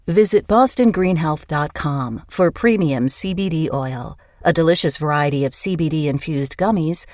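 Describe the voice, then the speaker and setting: A woman's voice: low-pitched, normal speed, darkish tone, no echo, studio quality. A woman reading a scripted podcast sponsor advertisement. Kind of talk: scripted ad read.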